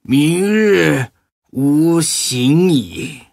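A man playing an elderly, calm Buddhist master in an audio drama, with a husky voice and solemn, deliberate delivery. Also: on the high side, no echo, clean studio sound, exaggerated voice. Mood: solemn, admonishing.

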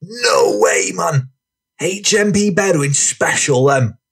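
A scruffy, menacing ex-con played by a male storyteller rants and boasts in sweary slang. The voice is rough and husky, pushed higher than the reader's own, with slow, drawn-out delivery. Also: lively intonation, a bit bright, heavily acted. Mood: bragging. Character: scruffy stumpy ex-con man, raging, boastful, menacing lout.

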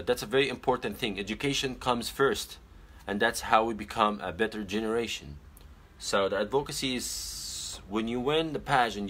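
A young man whose first language is Arabic, chatting casually on a phone live stream from his bedroom. He reads out viewer comments and gives earnest advice, pausing often on fillers. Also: fairly low pitch, a bit bright. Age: young adult. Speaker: pageant titleholder on a live stream. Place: small bedroom indoors. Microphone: phone camera at arm's length.

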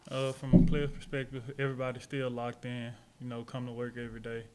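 A man's voice: medium pitch, completely flat delivery, fast, warm tone, slight background noise. A young man, a college basketball player, giving brief, plain answers at a postgame press conference on a table mic. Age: young adult.

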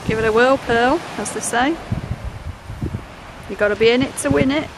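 High-voiced Englishman chatting in loud wind. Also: normal speed. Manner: chatty, chuckling, talks to the angler. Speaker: vlogger filming a fishing trip. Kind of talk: casual running commentary. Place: open beach outdoors by the sea. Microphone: handheld camera mic, speaker off-screen. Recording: loud wind buffeting the mic.

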